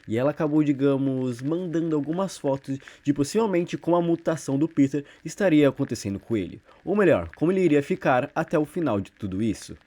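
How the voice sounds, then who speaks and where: A man's voice: on the high side, animated, quick pace, hardly any pauses, mellow. A man with a Brazilian accent doing informal video narration as a channel host, retelling and commenting on horror stories in a conversational way. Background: background music.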